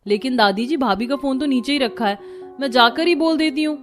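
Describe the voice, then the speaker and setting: Young bahu for cartoon drama played by a woman. Higher-pitched, put-upon, grievance in delivery, soft music bed. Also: a little fast, lightly acted. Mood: dutiful. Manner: complaining, expressive. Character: overworked, aggrieved young daughter-in-law. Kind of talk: Hindi cartoon family drama.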